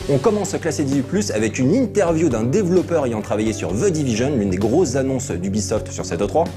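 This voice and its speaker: A man's voice: mid-range voice, expressive, fairly quick. A man co-hosting a show about video games in a conversational presenting style, with music behind him. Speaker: co-host and game critic. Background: music under the voice.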